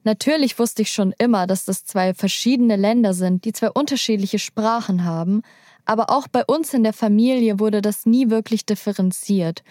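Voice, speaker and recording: A young adult woman, a journalist, narrating a personal documentary in a calm, measured, scripted way. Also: medium pitch, no room sound, studio quality.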